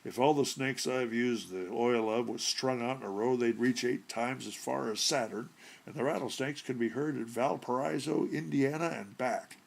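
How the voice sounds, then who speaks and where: A man voicing a brash, folksy burglar in a short story reading, with gravelly, husky voice and blunt, colloquial delivery. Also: mid-range voice, normal speed. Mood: chummy, boastful. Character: brash, folksy, slangy male burglar with rheumatism.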